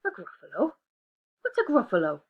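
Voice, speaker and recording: A woman voicing a sneaky, hungry snake in a children's storybook, hushed and coaxing, on a dull lo-fi recording. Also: fairly high pitch, huge pitch swings, moderate pace, slightly rough, voice pushed up, full character voice.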